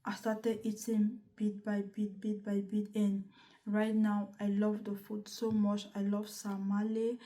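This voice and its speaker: Young woman from northern Cameroon, casual vlogger, warm low-key tone, flat delivery, chatty with many restarts. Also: measured pace. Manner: chatty and repetitive, with frequent restarts.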